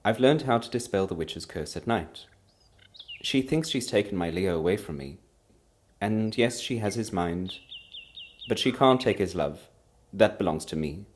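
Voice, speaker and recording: A man's voice: on the low side, animated, measured pace, a little hiss or hum. A man voicing a proud, anguished, defiant wife in a storybook reading, impassioned and emphatic, trembling with feeling. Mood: resolute. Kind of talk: dramatic storybook character reading.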